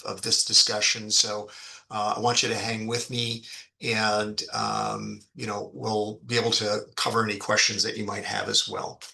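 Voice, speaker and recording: A man giving an explanatory business presentation as a fund executive, speaking haltingly with frequent ums and repeated words. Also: fairly low pitch, gravelly, bright, forceful tone. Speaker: fund executive presenting on an investor earnings call.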